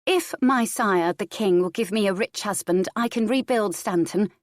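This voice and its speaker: A woman's voice: medium pitch, moderate pace, no room sound, clean studio sound, voice pushed up, close to an everyday voice. A woman voicing a small, proud noble girl, blunt and self-possessed, with formal speech in a historical audiobook.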